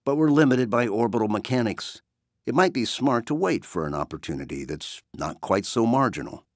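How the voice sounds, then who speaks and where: A man's voice: mid-range voice, expressive, moderate pace, no echo, studio quality, voice pushed up, natural voice. A man voicing a stern, cautious female starship captain in a blunt military manner, for an audiobook. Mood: cautious, insistent. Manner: firm, blunt, formal military.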